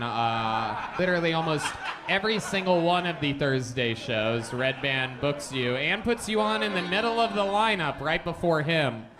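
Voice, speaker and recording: A man's voice: on the high side, background noise. A man interviewing a guest in a joking, teasing way.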